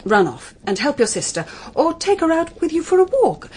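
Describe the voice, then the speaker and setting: An actress playing a genteel middle-aged Victorian mother in an audio drama, with a measured, admonishing manner. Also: high-pitched, big pitch swings, a little mellow, a little hiss or hum. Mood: brisk, admonishing. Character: brisk, genteel Victorian mother, practical and gently sceptical. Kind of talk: period audio drama acting.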